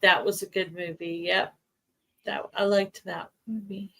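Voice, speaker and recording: A woman's voice: medium pitch, little pitch movement, measured pace, creaky, a bit bright. A married woman chatting casually on a livestream, friendly and agreeable. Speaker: livestream co-host. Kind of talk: casual chat.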